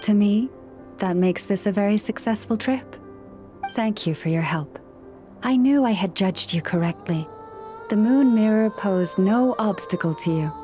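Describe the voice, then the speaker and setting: A composed young woman guide, voiced by an actress in a game cutscene, speaking in a calm, reassuring way. Her voice sits at an ordinary middle pitch. Soft game music runs faintly underneath. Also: a little slow, lightly acted. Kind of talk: video game cutscene dialogue.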